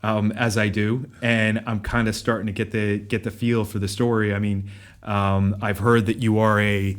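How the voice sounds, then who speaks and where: Man, podcast co-host, lowish voice, rambling questions with ums, office setting. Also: level tone. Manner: brisk read intro, then rambling questions full of ums. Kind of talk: scripted intro, then interview questions. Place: office in a shared workspace.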